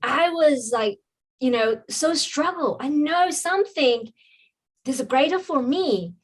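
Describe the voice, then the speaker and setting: A woman's voice: high-pitched, animated, takes it slowly. Woman telling her story. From China. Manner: earnest, reflective storytelling with frequent ums. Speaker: podcast guest, business coach. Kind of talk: personal story in a podcast interview.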